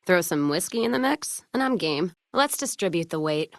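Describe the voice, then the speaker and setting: A tough, hard-drinking American caravan woman from a frontier video game, played by an actress. Her voice sits low, recorded close and dry. She talks in folksy, sardonic slang and swears freely. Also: expressive, normal speed, no echo, natural voice. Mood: wry, laid-back. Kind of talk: video game character voice lines.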